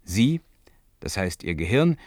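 Male researcher, fairly low, deliberate and didactic, sci-fi radio drama, dry close recording. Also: very animated, a little mellow, dry recording. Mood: patiently explaining. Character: earnest male researcher methodically unfolding a hypothesis. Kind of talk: German science fiction radio play acting.

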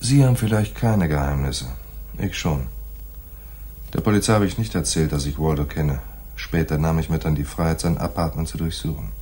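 A man's voice: extremely low pitch, moderate pace, darkish tone, slightly rough. An actor playing a laconic, shrewd private detective in a radio play, dry and slangy, telling his case like noir. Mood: dry, businesslike. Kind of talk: 1980s German radio play, noir dialogue and narration.

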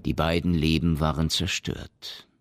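An actor as a solemn storyteller, narrating a science fiction radio play in a measured, literary way. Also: male voice, extremely low pitch, flat delivery, slight background noise. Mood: solemn narration. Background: music.

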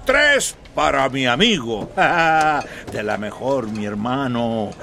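An actor playing a jovial, grasping farmer who peddles water, in a radio soap opera. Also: male voice, medium pitch, sing-song, drawn-out words, vocal fry, bright, forceful tone, a little hiss or hum, heavily acted. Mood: chummy, sly. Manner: boisterous hawker with frequent hearty laughter. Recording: street bustle with crowd and vehicles.

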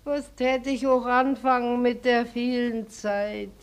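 An actress playing a frail, meek old weaver's wife in a radio play, halting, with dialect colouring. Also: female voice, a little high, fairly even intonation, smooth. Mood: meek, tender. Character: frail, blind old mother, meek and adoring. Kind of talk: German radio play acting.

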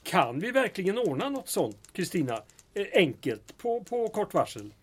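A man acts a small-town mayor in a comic radio play. He is polite and official but slightly flustered, and his voice is pitched high for a man. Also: very animated, breathy edge, heavily acted. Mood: hesitant. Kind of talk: comedic audio drama dialogue.

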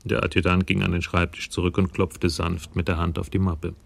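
A man narrating a radio crime drama from a script in a sober, even storytelling manner. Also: deep voice, brisk, vocal fry, dry recording.

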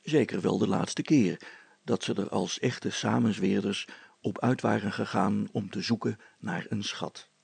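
A man's voice: fairly low pitch, unhurried, gravelly, darkish tone, slightly rough, no room sound. A man narrating a storybook as a scripted audiobook reading.

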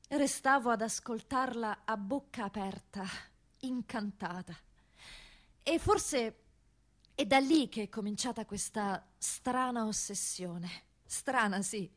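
A woman from Rome, an actress delivering a narrative stage monologue deliberately, phrase by phrase with pauses. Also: fairly high pitch. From Rome, Italy.

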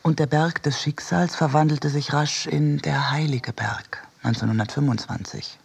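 Husky, creaky male radio narrator. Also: fairly high pitch, fairly even intonation, normal speed. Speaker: reader of a literary essay. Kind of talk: scripted narration in a radio production.

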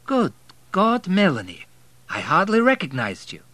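A man's voice: sits high, huge pitch swings, a little slow, a bit bright, no echo, pitched above the performer's own voice, heavily acted. A man playing a wry, courteous, self-made immigrant hotel magnate.

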